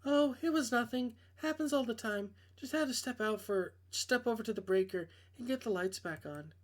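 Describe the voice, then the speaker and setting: Man doing an anxious young woman, pitched up. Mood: bashful. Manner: hesitant, halting, brushing things off. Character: anxious, self-conscious young woman on the phone. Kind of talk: visual novel character voice.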